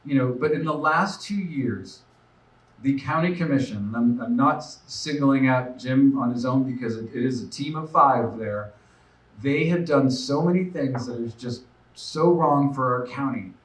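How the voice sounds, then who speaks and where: A man's voice: mid-range voice, moderate pace, recorded from a distance. A man in his forties speaks earnestly at a campaign fundraiser, thinking aloud with plenty of fillers and restarts. He stands a little way off from the microphone, and the room gives his voice a roomy echo. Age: 40s. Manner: earnest, off-the-cuff with many fillers. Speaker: political candidate. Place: room at a live event.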